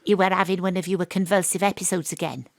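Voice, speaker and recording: A woman's voice: fairly low pitch, fairly even intonation, quick pace, no echo, close to an everyday voice. A woman playing a wry, sardonic detective. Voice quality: dry, sardonic. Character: wry down-to-earth female private detective. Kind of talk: audio drama acting with narration. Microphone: home studio microphone.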